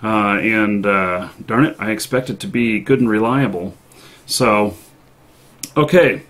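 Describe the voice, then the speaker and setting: A man hosting a casual live-stream interview, speaking informally and haltingly as he thinks aloud. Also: lowish voice, fairly bright tone. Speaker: live stream show host.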